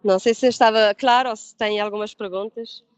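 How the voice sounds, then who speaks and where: A woman's voice: medium pitch, fairly even intonation, a little fast, smooth, no echo. Hesitant woman with a European Portuguese accent. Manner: hesitant, many restarts, laughs easily. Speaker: pre-sales engineer presenting software. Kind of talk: webinar presentation. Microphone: video call.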